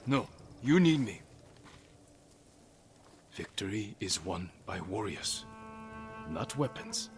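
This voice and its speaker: An actor playing a determined, earnest samurai warrior in a video game, with a solemn, terse and resolute delivery. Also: male voice, lowish voice, sing-song, takes it slowly, pauses often, exaggerated voice. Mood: firm, demanding. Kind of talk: dramatic video game acting.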